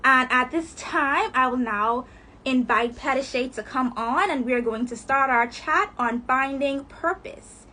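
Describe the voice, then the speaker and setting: A woman's voice: high-pitched, measured pace, bright, forceful tone. A young woman hosting a live chat, upbeat as she reads sponsor plugs and puts questions to her guest over a phone livestream. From Bahamas. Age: 20s. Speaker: host. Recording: phone livestream with faint noise.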